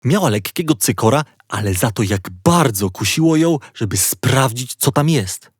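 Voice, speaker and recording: A man narrating a children's story in an expressive, playful storytelling manner. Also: medium pitch, no room sound, clean studio sound.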